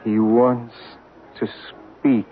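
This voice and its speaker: An actor playing a male medium speaking slowly and haltingly from a deep trance, in a 1970s radio drama. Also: little pitch movement. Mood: entranced. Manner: very slow, halting, dreamy. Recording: narrow, hissy old radio broadcast.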